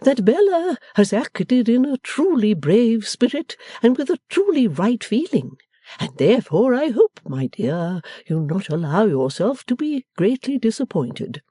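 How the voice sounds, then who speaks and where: A woman's voice: on the high side, very animated, unhurried, dark tone, breathy edge, no room sound, studio quality, voice pushed up, exaggerated voice. A woman voicing a meek, submissive middle-aged father in a storybook, faltering and placating.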